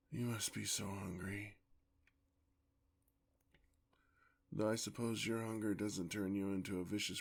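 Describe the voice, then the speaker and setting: A man playing a weary, tender, courtly male vampire in an ASMR roleplay, with a husky, gravelly voice and formal, old-fashioned speech. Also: on the low side, full character voice. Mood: apologetic, affectionate.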